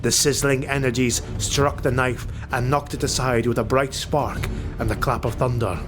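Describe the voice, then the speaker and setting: A man's voice: medium pitch, fairly even intonation, normal speed. A man narrating a fantasy audiobook in a dramatic, scripted style. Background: music.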